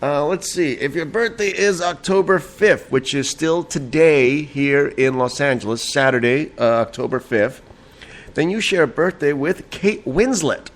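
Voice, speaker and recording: A man's voice: fairly high pitch, measured pace. A man hosting a casual late-night podcast from home, a bit tipsy and a little nasal, rambling and laughing with lots of fillers and swearing. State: slightly tipsy. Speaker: podcast host. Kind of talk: late-night casual solo talk show.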